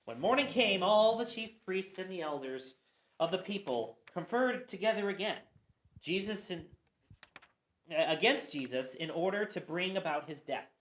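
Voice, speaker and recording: A woman giving a dramatic Bible reading, expressive, with occasional stumbles. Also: fairly low pitch, normal speed. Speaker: scripture reader. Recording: roomy echo.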